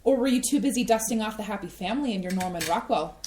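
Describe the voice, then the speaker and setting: A woman's voice: mid-range voice, animated, fast, darkish tone, a little hiss or hum, lightly acted. A woman reading the part of a resentful adult daughter who sharply confronts her father, in a community staged reading. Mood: accusing. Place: small community playhouse stage.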